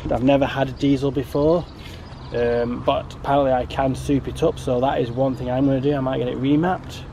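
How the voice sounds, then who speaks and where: A man's voice: mid-range voice, level tone, a little fast, gravelly, mellow, a little hiss or hum. A British man in his thirties with a cold, sounding nasal, talking casually and rambling in a car vlog recorded in a parked car. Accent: British English. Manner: rambling, with many restarts. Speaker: car vlogger.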